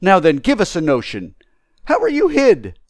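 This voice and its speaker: Man playing a bewildered tramp with wildly swooping pitch. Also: huge pitch swings, moderate pace, no echo, clean studio sound, exaggerated voice. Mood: exasperated. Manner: colloquial dropped grammar, blustering. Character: bewildered, indignant male tramp, coarse and stubborn. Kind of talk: acted audiobook storytelling.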